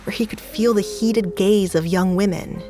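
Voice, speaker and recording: A woman narrating a scripted romance story in an even, measured way. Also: mid-range voice, faint music behind.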